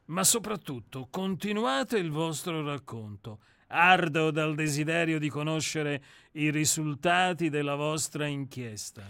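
A man reading the part of a celebrated, urbane male novelist in a radio play, courteous and measured. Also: a little high, fairly bright tone, clear, resonant tone, no room sound, clean studio sound. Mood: gracious.

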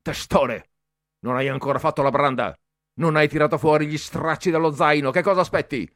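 A man's voice: a little high, a little fast, no room sound, studio quality, voice pushed up, lightly acted. A man voicing a bullying young male cadet who barks harsh, sneering orders and mocks the boys. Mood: barking, bullying. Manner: barked orders, sneering shouts.

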